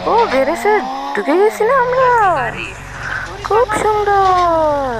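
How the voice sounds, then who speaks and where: A woman's voice: extremely high pitch, expressive, slow pace, very noisy. A woman giving casual game commentary for small children, in a childlike, repetitive, exclaiming patter. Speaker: gameplay video host.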